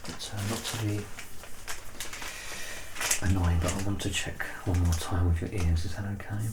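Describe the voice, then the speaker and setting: A man speaking softly and gently, sometimes near a whisper, reassuring the listener as an examiner in an ASMR medical roleplay. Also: sits low, steady tone, very noisy. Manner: gentle, reassuring, unhurried instructions.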